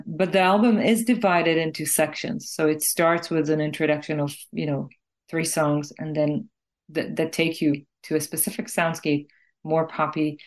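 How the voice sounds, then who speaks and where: A woman from Israel speaks in a low-pitched voice as an interviewed singer-songwriter. She is reflective and explains her thinking carefully, in a conversational way.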